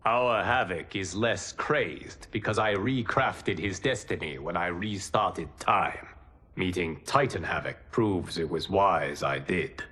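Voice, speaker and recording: An actor playing a calm, wise guardian god in a video game, speaking formally with measured authority. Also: male voice, sits low, slightly rough, full character voice. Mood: grave, measured. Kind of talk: video game cutscene acting.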